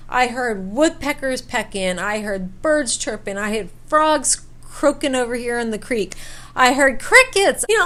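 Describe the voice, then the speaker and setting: A woman telling a personal story in a casual, chatty way, with an animated, informal manner. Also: a little high. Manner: animated retelling, acts out quoted dialogue. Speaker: storyteller vlogger.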